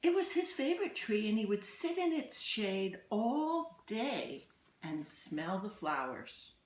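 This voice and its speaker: A woman reads a children's picture book aloud in an echoey room. Her delivery is deliberate and expressive, with a lively swing in pitch and pauses between phrases. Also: a little hiss or hum. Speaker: storybook reader, teacher.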